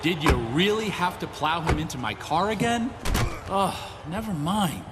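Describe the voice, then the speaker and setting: Scheming wrestling manager, high-pitched, smug, irritable, sarcastic, video game cutscene, noisy ambience. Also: male voice, animated, normal speed. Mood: irritated sarcasm. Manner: smarmy, sarcastic.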